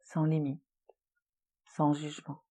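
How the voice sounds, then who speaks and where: A woman leading a guided relaxation meditation in a soothing, gentle, unhurried manner with long pauses. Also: low-pitched, monotone, husky. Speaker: yoga nidra relaxation guide.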